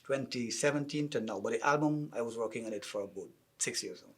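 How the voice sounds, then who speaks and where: Husky Guyanese man, outspoken. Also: mid-range voice, fast talker. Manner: outspoken, emphatic about his home music scene. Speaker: musician and label owner. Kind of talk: interview answers.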